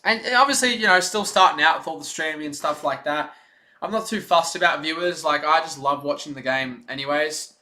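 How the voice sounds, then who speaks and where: A young man streaming his own play-by-play as a fan, chatty and excited, with a voice going hoarse. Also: on the high side, brisk. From Australia. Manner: excited rooting fan, loud on big plays, chatty in lulls. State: losing his voice. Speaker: stream host.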